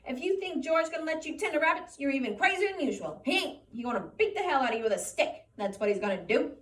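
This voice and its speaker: A woman's voice: high voice, lively intonation, fast, reverberant, distant mic, pitched above the performer's own voice. A woman reading aloud and voicing a gigantic imaginary rabbit that taunts in a scornful rural drawl.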